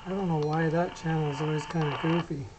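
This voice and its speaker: A hobbyist giving a casual, unscripted gear demo, explaining things in a rambling, halting way. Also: mid-range voice, fairly even intonation. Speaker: hobbyist demonstrating a radio setup. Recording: clear background noise with clicks.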